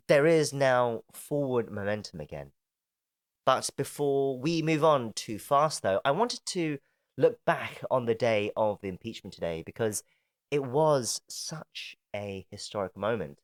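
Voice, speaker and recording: A man hosting a politics podcast, reading a measured scripted intro, then interviewing a guest. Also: mid-range voice, no room sound, studio quality. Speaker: podcast host. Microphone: video call.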